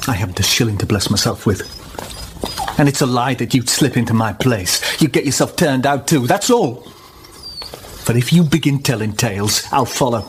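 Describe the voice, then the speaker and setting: An actor playing a proud, cornered young squire's son, bitter and indignant in a period radio drama. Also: male voice, medium pitch, lively intonation, normal speed, noisy background, close to an everyday voice. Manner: indignant, tense, clipped threats.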